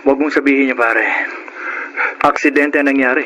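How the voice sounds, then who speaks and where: A man's voice: mid-range voice, fairly even intonation, fairly quick, bright, forceful tone, close to an everyday voice, low-quality recording. A man playing a concerned friend, earnest and challenging, in a Tagalog radio drama. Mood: concerned, reproachful. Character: concerned, reasoning male friend of a troubled man.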